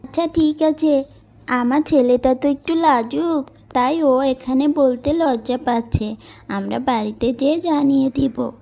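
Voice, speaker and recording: Woman as a kindly high-voiced matchmaking mother. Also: a little slow, vocal fry, warm tone. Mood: frank, polite. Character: kindly, forthright middle-aged mother seeking a bride for son.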